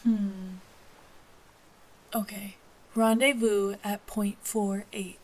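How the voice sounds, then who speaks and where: A woman's voice: medium pitch, fairly even intonation, extremely slow, darkish tone, rough recording. A woman playing a brusque, commanding pilot in an audio drama, with clipped, bossy delivery. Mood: firm, commanding. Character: brusque, commanding female pilot of shady dealings.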